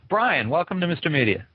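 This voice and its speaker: A man with a husky voice hosting an interview as he introduces and questions his guest. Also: a little high, no room sound, narrow, tinny audio. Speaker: interview host.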